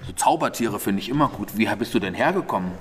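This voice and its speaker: A man's voice: lowish voice, lively intonation, quick pace, fairly bright tone. A man hosting an improvised audio play as head of a magic school, interviewing children and echoing their answers encouragingly. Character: cheerful head of a wizard school. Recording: echoey hall, faint background noise.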